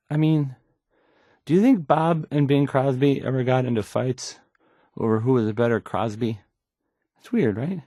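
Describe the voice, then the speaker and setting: A man's voice: mid-range voice, no room sound, clean studio sound. An actor playing a nervous, awkward young male radio DJ in a video game, stammering and hesitant as he talks. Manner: stammering, hesitant, unsure of himself. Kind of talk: video game character dialogue.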